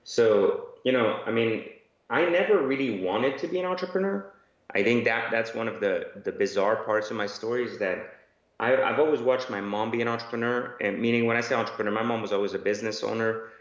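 A man's voice: mid-range voice, fairly quick. Man with an echoey, dull line. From Iran. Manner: rambling, many fillers and restarts. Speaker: guest entrepreneur. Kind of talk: conversational interview answers. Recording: echoey, dull remote audio.

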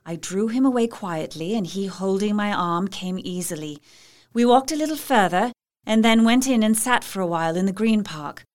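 A woman's voice: medium pitch, lively intonation, moderate pace, darkish tone, lightly acted. An actress playing a devoted, anxious young English wife, narrating her diary earnestly in an audio drama. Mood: uneasy.

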